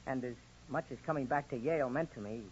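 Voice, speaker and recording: A man's voice: medium pitch, quick pace, lightly acted. A man playing an upright young college hero, polite and firm, in 1940s radio acting style. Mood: modest. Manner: polite, clean-cut, firm. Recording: muffled, noisy 1940s radio recording.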